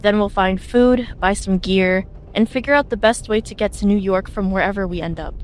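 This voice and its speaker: A woman's voice: mid-range voice, brisk, slight background noise, close to an everyday voice. A young woman voicing a worried, take-charge leader of a group on the run in a webtoon fan dub. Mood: firm, commanding.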